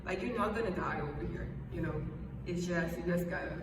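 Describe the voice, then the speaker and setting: A woman's voice: fairly low pitch, a little fast. Bahamian woman talking in an echoey room. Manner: chatty, emphatic, repeats herself for emphasis. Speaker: vlogger. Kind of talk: casual advice vlog. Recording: echoey room, far from the mic, background noise.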